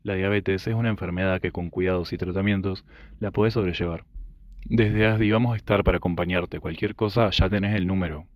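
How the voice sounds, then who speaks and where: Man playing a calm, deep-voiced doctor. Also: a little fast, no echo, muffled recording, close to an everyday voice. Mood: reassuring. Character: calm, supportive male clinic doctor. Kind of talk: student radio drama acting.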